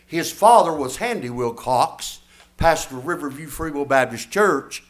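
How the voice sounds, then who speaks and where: An older man preaches from the pulpit, his voice pitched a little high. He is folksy and emphatic, putting questions to the congregation. The sound carries through an echoey church room. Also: animated, measured pace. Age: 70s. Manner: folksy, emphatic preaching with audience call-and-response. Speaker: pastor. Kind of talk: sermon.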